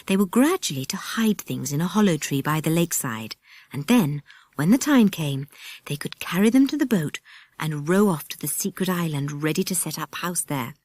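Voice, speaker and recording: A British woman reads a children's story as an audiobook narrator. Her voice sits a little low and swings widely in pitch, with expressive delivery. The recording is dry and close. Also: normal speed, no room sound.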